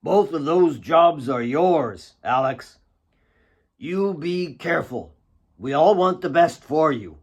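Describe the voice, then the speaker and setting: A man voicing a grave, caring old mentor in an audiobook, slow, earnest and urging. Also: fairly high pitch, expressive, a little mellow, muffled recording, voice pushed up, full character voice.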